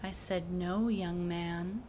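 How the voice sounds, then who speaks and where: A woman's voice: on the low side, fairly even intonation, takes it slowly, mellow, a little hiss or hum. A woman playing an imperious, cryptic prophetess who speaks formally and curtly in an audio drama. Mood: haughty, stern. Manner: imperious, formal, archaic wording. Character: haughty, cryptic ancient prophetess, curtly dismissive.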